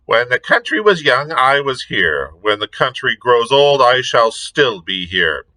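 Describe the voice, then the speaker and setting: A man voicing an ancient, cruel female witch, with a shrill falsetto and cackling laughter. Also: sing-song, unhurried, bright, forceful tone, dry recording, rough recording. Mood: shrieking, cackling. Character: ancient shrieking hag witch, cruel and defiant.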